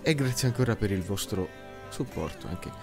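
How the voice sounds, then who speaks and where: A man's voice: lowish voice, expressive, moderate pace, over music. A man, an amateur voice actor, casually and jokingly greeting channel followers. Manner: jokey.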